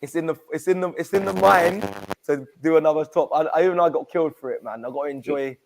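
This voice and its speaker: Lively man, slangy fan talk. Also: on the high side, fast, creaky, a little hiss or hum. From England. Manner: lively, slangy, laughs easily. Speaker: fan channel regular. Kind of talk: casual chat.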